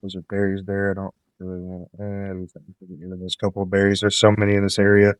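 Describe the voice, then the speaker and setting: Guy with a lowish voice doing laid-back gameplay commentary, kinda nasal from a cold, dry close mic. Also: fast, smooth, dry recording. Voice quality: slightly nasal. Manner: relaxed, rambling, gives tips while playing. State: coming down with a cold. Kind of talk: casual let's-play commentary.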